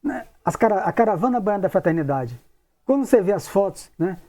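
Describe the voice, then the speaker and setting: A man's voice: high-pitched, big pitch swings, brisk, warm tone. A man, leading an informal study talk and acting out imagined questions in a conversational way. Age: 60s. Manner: conversational, acts out imagined questions, repeats tag questions. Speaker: spiritist study group leader and lecturer.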